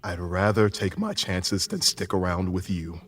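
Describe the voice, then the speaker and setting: An irritable older man acted by a man, scolding like a fed-up father in a tabletop adventure. His bright, forceful voice swings widely with exasperated sarcasm, slightly off the mic over music. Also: low voice, very animated, a little slow, slightly rough, far from the mic, pitched below the performer's own voice, exaggerated voice. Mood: scolding, bitter.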